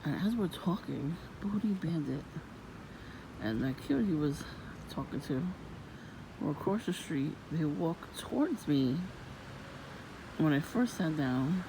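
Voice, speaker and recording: A woman with a low voice, vlogging in a soft-spoken, rambling and suspicious way outdoors near her home. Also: lively intonation, slow pace, long pauses. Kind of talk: casual rambling monologue. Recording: noisy outdoor ambience.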